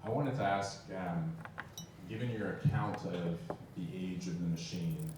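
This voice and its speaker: A man's voice: deep voice, fairly even intonation, measured pace, noisy background. A man in the audience asking a long, tentative, rambling question at a lecture. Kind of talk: Q&A question from the floor. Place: lecture hall. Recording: roomy hall, distant mic.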